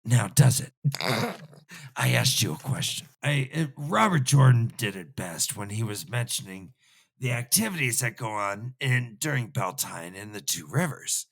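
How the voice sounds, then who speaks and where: A man's voice: medium pitch, animated, a little slow, vocal fry, a bit bright. A man co-hosting a comic book discussion podcast, speaking emphatically in a mock-scholarly way and repeating himself for effect. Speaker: podcast co-host.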